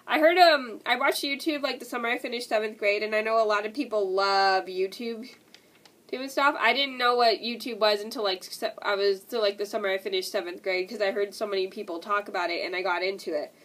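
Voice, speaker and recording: A woman's voice: medium pitch, a little fast, a bit bright. A young woman vlogging with a stuffy nose from a cold, rambling and repeating herself with lots of "like" and "and stuff". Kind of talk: casual talk to camera.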